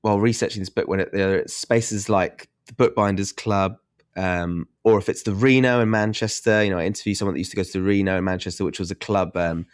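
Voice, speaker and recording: A man's voice: sits low, steady tone, fast talker, no echo, clean studio sound. A young man, an author being interviewed, with a nasal, congested voice; thoughtful and self-deprecating, laughing often. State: congested from hay fever. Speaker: guest author. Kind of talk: casual interview chat.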